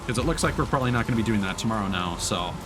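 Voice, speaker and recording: A man's voice: fairly low pitch, lively intonation, very fast, noisy background. A man doing casual live gaming commentary, thinking aloud and chatting to his viewers. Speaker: livestreamer, gamer.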